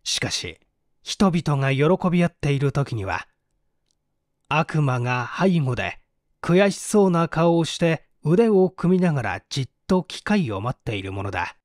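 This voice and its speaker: Male audiobook narrator, higher-set voice, very animated intonation, dramatic mystery reading. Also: unhurried, no echo, clean studio sound. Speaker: audiobook narrator, stage actor.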